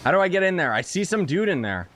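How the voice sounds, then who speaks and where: A young man doing casual live game commentary in an excitable, playful, slangy way. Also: high voice, fast talker, a little hiss or hum. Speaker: game streamer. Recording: game music behind the voice. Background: game music.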